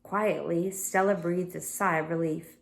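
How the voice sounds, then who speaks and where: A woman's voice: fairly low pitch, fairly even intonation, unhurried, gravelly. Woman reading a children's story aloud. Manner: explains the story in brief asides. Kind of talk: read-aloud narration with casual asides.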